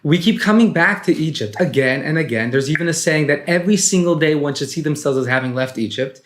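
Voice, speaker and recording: A man, a rabbi and author, explaining teachings in a measured, didactic way. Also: a little high, roomy sound.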